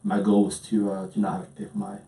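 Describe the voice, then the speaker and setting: French-accented man, low mellow voice. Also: big pitch swings, fast talker, gravelly. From France. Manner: hesitant, many ums and restarts. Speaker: interview guest. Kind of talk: casual storytelling. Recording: roomy, distant mic.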